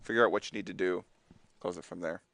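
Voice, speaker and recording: A man from Texas with a Texan accent, co-commentating a fighting-game tournament in relaxed, chatty banter. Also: low-pitched, fast talker. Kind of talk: live esports commentary.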